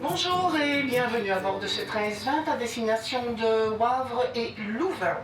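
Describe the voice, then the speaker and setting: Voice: mid-range voice, normal speed, over music, rough recording. A train conductor from Belgium, making announcements and answering questions in brief, polite replies. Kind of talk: announcements and casual interview. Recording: steady train background noise.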